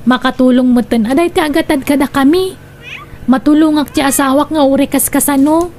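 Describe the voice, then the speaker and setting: A woman's voice: high voice, fairly even intonation, fairly quick, a little mellow, slight background noise. A woman playing a meek, obliging laundrywoman in a radio drama, deferential, with an Ilocano accent. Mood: patient, dutiful. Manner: deferential, accommodating. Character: meek, obliging poor laundrywoman, a dutiful daughter. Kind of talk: Filipino radio drama acting.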